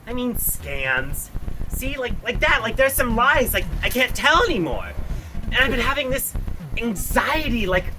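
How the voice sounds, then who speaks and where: A woman's voice: on the high side, very animated, unhurried, low-quality recording. A woman voicing a self-centered, whiny slacker landlord in a puppet cartoon, scheming, hesitant and sarcastic. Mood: exasperated. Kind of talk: animated puppet show dialogue.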